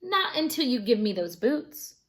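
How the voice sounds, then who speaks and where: A small, plucky boy hero from a storybook played by a woman reader. The voice is high, bold and exclamatory, with a kind streak. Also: big pitch swings, a little slow. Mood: commanding. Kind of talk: children's storybook read-aloud.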